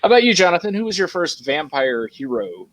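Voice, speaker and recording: A man's voice: a little high, very animated, a little fast, no room sound. Man hosting an informal panel chat. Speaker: panel host.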